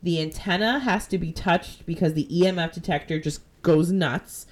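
Low-voiced Jersey woman retelling a ghost story. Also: moderate pace. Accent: New Jersey. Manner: animated, profane, jumps into quoted voices. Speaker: podcast host. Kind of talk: casual chat retelling a ghost-hunting episode.

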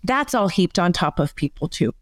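A woman's voice: fairly low pitch, animated, moderate pace, dry recording, clean studio sound. A woman, a psychotherapist guest, explaining her ideas in a conversational interview with many fillers. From United States.

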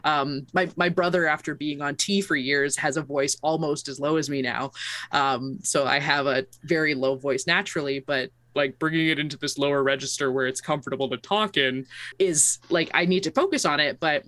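A woman chatting casually about playing a game character, with a halting, self-deprecating manner and frequent restarts. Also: deep voice, fairly bright tone, dry recording. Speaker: player and costume maker. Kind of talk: casual chat.

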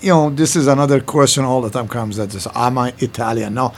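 A man's voice: mid-range voice, a little fast, darkish tone, slight background noise. An older man from Iran with a Middle Eastern accent, chatting bluntly as a guest about running his pizza place, in broken English. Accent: Iranian. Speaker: guest, former pizzeria owner. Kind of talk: casual interview chat.

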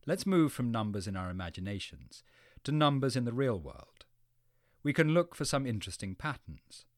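A man reading scripted educational narration as a programme narrator, explaining things in clearly phrased sentences. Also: mid-range voice, dry recording, studio quality.

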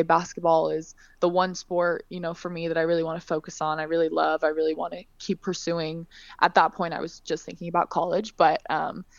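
A young woman, a basketball player chatting warmly in an interview. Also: on the low side, no room sound, dull audio. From born in Scotland, raised in California. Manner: friendly, chatty, laughs often. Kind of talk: casual interview chat.

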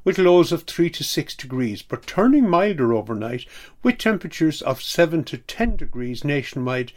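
A man's voice: a little high, sing-song, normal speed, a little mellow. A man reading a scripted weather forecast on community radio.

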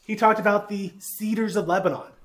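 Southern guy preaching, kinda high voice, folksy and jokey about himself, lots of uh's. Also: steady tone, a little fast, a bit bright. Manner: folksy, self-deprecating, with frequent uh fillers. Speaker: pastor. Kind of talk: sermon teaching with a map.

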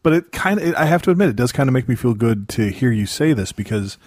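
A man's voice: medium pitch, animated, quick pace, darkish tone, no echo, clean studio sound. A man from Mississippi chatting casually as a podcast guest, hesitant, rambling and self-deprecating. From Mississippi, United States. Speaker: podcast host appearing as guest. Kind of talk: casual chat.

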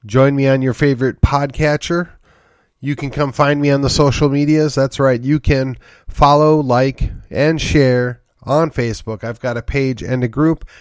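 A man's voice: mid-range voice, fairly even intonation, measured pace, dry recording. A man hosting a solo political commentary podcast, congested from a sinus infection, rambling and wry with sighs and short laughs. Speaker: podcast host.

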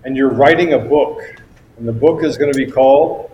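A man's voice: mid-range voice, normal speed, lo-fi sound. American male show host with faint noise. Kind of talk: conversational guest introduction.

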